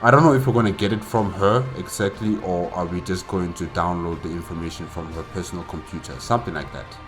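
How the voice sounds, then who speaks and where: A man with a very low voice gives casual solo commentary as a reaction host. He sounds incredulous and often asks rhetorical questions. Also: steady tone, fast, over music.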